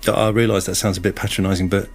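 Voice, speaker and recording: A man's voice: low-pitched, flat delivery, rapid-fire. An actor playing a polite, evasive estate agent showing a house in a naturalistic radio drama, with a salesman's patter. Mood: hesitant, defensive.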